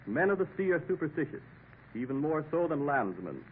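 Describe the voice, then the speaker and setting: Higher-pitched American radio announcer, a man. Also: big pitch swings, fairly quick. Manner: formal, dramatic storytelling. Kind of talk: 1950s radio drama narration. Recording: tinny, hissy 1950s radio recording.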